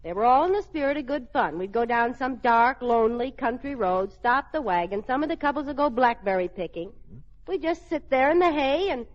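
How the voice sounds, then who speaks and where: A sly, wisecracking housewife, played by an actress from an old radio comedy. Her voice is fairly high and highly animated, teasing and wry, on a muffled vintage recording made before a studio audience. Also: brisk, lightly acted. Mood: indignant, curious. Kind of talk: 1940s-50s radio comedy acting.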